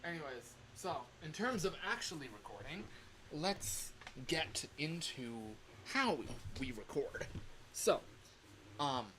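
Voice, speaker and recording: A man's voice: a little high, big pitch swings, takes it slowly, background noise. A man hosting a casual livestream tutorial, talking in a chatty, rambling, informal way into a microphone. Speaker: livestream host. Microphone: microphone on a home streaming setup.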